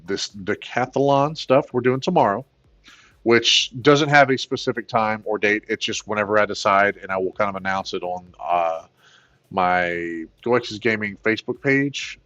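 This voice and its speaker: A man with a plain baritone voice, hosting a casual gaming livestream, rambling with many fillers and excited bursts. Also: moderate pace, lo-fi sound. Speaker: gaming channel host.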